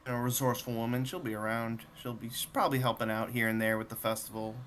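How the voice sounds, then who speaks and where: A man's voice: medium pitch, little pitch movement, fast talker, a bit bright, slight background noise, natural voice. A man playing an easygoing, slightly distracted weaponsmith in a tabletop game, speaking casually. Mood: casual, reassuring. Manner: casual, laid-back. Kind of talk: tabletop role-play.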